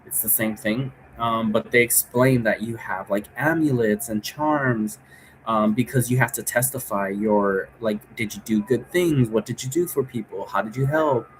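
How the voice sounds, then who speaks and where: A man with an average-pitched voice talks informally, like a host walking viewers through a tutorial. He rambles casually, repeats himself and checks in as he goes. A light layer of noise sits behind his voice. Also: normal speed, poor audio. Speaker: host giving an occult divination tutorial.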